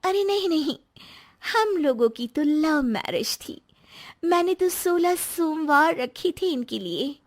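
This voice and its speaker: Playful young-sounding wife next door, acted by a woman: high animated voice, coy cartoon charm. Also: takes it slowly, heavily acted. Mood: chirpy. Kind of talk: comic cartoon dialogue.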